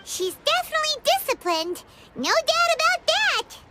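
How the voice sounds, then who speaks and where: Squeaky, very high little fairy sidekick. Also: female voice, very animated, normal speed. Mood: agreeing. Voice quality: squeaky, childlike. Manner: chatty, exaggerated, speaks of herself in third person. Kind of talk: animated video game voice acting. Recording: background music under the voice.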